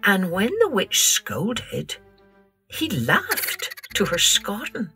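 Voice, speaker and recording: An older woman with a Scottish accent, telling stories and poems to children in an expressive, theatrical, deliberate way. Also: medium pitch, over music. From Scotland. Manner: theatrical, deliberate, drawn-out delivery with hushed passages. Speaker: children's storytelling podcast host. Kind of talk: expressive storytelling and poem recital for children.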